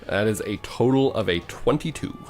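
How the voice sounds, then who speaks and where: A man's voice: lowish voice, expressive, moderate pace, darkish tone, soft background music. A man acting as game master, casually narrating the action of a tabletop fantasy game and asking players for their moves.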